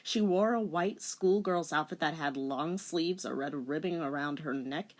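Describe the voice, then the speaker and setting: A woman's voice: sits low, a little slow. A woman hosting a video in a playful, tongue-in-cheek way, with casual scripted commentary and dramatic reading. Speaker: YouTube host and narrator.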